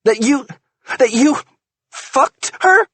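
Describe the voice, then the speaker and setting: A man's voice: fairly high pitch, lively intonation, slow, breathy edge, no room sound, pitched above the performer's own voice, heavily acted. A man voicing a betrayed wife in a screaming, tearful rage in an audiobook. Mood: furious. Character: furious betrayed wife, shrieking, adult woman. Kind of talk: audiobook character voice.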